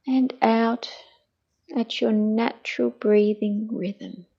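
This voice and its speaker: A middle-aged woman guides a meditation on a slightly dull, muffled recording. She speaks very slowly and gently, with a soft, mellow tone. Her delivery is soothing and hushed, at times breathy. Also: on the high side, fairly even intonation.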